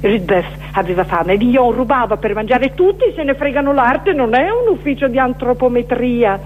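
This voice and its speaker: A woman giving a dramatic recitation of a poetic manifesto, with emphatic, deliberate phrasing. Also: a little high, animated, phone-quality sound, a little hiss or hum. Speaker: performer reciting poetry.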